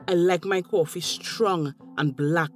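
An actress playing a cheeky, stalling village gossip in a radio drama, with a Tobagonian accent and a teasing, drawn-out way of talking. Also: female voice, on the low side, a little mellow, soft background music. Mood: coy, stalling.

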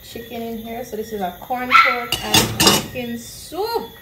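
A woman's voice: on the high side, measured pace, distant mic, heavy background noise. Laid-back Jamaican woman, echoey kitchen. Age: young adult. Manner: relaxed, chatty, laughing often. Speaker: cooking vlogger. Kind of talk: casual cooking vlog chat. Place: bare concrete home kitchen, indoors. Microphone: camera on tripod across the room. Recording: echoey room, voice from afar, loud music. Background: loud background music.

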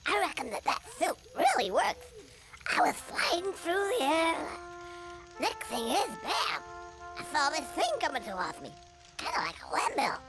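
A man's voice: extremely high pitch, huge pitch swings, measured pace, vocal fry, over music, exaggerated voice, low-quality recording. An actor voicing an earnest, dim-witted young male squirrel soldier in a video game. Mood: dazed.

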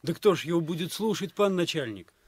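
Guy playing cheeky village boy in old radio play, high lively voice, busy crowd around him. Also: brisk, close to an everyday voice. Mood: pleading, cheeky. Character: cheeky, defiant young village lad. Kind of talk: Soviet radio play acting.

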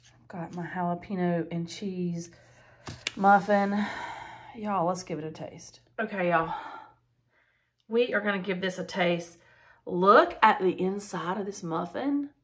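A woman's voice: fairly low pitch, unhurried, pauses often, fairly bright tone. A woman, chatting casually as she cooks in her home kitchen. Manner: chatty, enthusiastic, says y'all often. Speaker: cooking vlogger. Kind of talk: casual cook-along chat.

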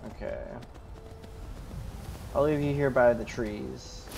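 A man's voice: medium pitch, slow pace, creaky, darkish tone, soft background music. A man giving in-character commentary as he plays a fantasy video game. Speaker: gamer roleplaying his character.

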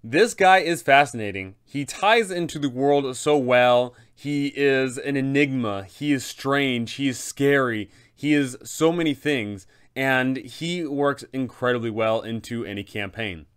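A man in his thirties explaining tabletop game mastering to camera, enthusiastic and emphatic, with dramatic pauses. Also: mid-range voice, fairly bright tone, smooth.

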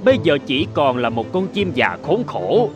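A man's voice: on the high side, sing-song, normal speed, music in the background. A man voicing a spiteful, cocky male sardine in a cartoon fable, mocking and gloating theatrically. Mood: scornful. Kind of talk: animated fable voice acting.

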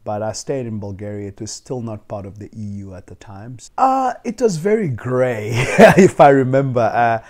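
Young man with a mellow voice. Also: mid-range voice, animated, normal speed, hardly any pauses. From South Africa. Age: 20s. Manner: thoughtful, with frequent restarts and soft laughs. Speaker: interviewee. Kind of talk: casual sit-down interview. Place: living room, seated on leather couch. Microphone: lapel mic.

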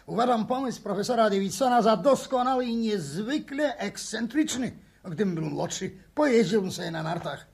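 Creaky, high-voiced cheerful old professor. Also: male voice, animated, moderate pace, full character voice. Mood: delighted. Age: older. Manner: chirpy, effusive. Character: cheerful ageing male professor, eccentric sports enthusiast. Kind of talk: 1960s radio drama acting.

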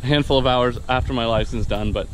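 A man's voice: medium pitch, steady tone, fast talker, a little hiss or hum, rough recording. A young man recording a casual review, talking enthusiastically to a handheld camera outdoors, rambling with fillers and restarts. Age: young adult. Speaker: vlogger and new private pilot. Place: outdoors on a wooded dirt path. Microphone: handheld action camera at arm's length.

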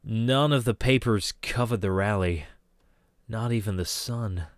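A man voicing an earnest newspaper reporter in a fan audio drama, calm and sincere. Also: fairly low pitch, unhurried, no room sound, studio quality, close to an everyday voice. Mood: grim.

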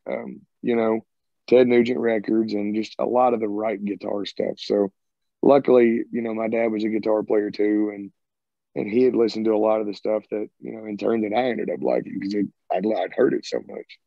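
A man in his thirties with a Southern drawl, answering questions in a relaxed, rambling way and dropping "man" often. His voice sits a little low. The sound is dry and dull, like audio from a video call. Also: creaky, no echo. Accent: Southern American. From American South. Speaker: rock band singer and guitarist. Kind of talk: casual interview answers. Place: home, by a sided wall. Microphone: video call, earbuds.

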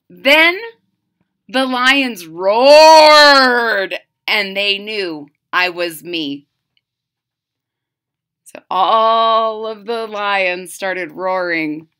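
A middle-aged woman giving an expressive, exaggerated children's read-aloud with casual asides. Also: medium pitch, clear, resonant tone. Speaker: storyteller reading a picture book. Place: small room at home, indoors.

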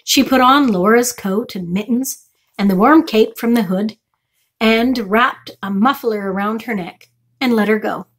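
A woman's voice: a little high, unhurried, breathy edge. A middle-aged woman reading a children's chapter book aloud as a storytime reader. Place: small furnished room indoors.

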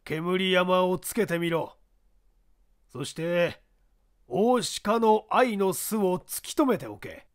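A woman voicing a gruff, scheming middle-aged male newspaper boss giving blunt orders in a story reading. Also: fairly low pitch, animated, takes it slowly. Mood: stern, scheming.

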